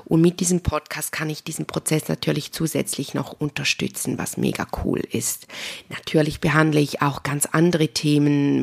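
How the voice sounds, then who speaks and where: A woman with a Swiss German accent speaks in a low voice with plenty of creak. She talks informally and straight to the listener, as the host of a casual solo podcast. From Switzerland. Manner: chatty and informal, addresses the listener directly. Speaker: podcast host and spiritual healer.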